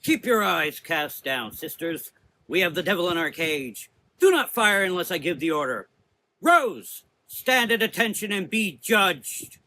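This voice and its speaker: Man playing a stern, forceful temple matriarch. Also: sits high, big pitch swings, measured pace, a little hiss or hum, voice pushed up, heavily acted. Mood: commanding. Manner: authoritative, accusing. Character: stern commanding forty-year-old woman, head of a temple, suspicious. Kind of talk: gothic horror audio drama.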